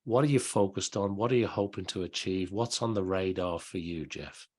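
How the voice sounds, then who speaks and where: A man with a very deep, low voice hosts an interview. He puts his questions politely and at a measured pace. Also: darkish tone. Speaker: podcast host.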